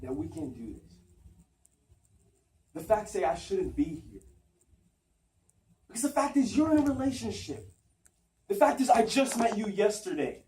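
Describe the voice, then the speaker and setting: An actor performs an anguished, torn man pleading his love in a theatrical monologue. His high male voice is articulate and earnest, with dramatic pauses. It is captured from a distance in a roomy space with faint noise. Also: lively intonation, dark tone. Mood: desperate insistence. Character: anguished man confessing forbidden love, torn, self-doubting rationalist. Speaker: acting student.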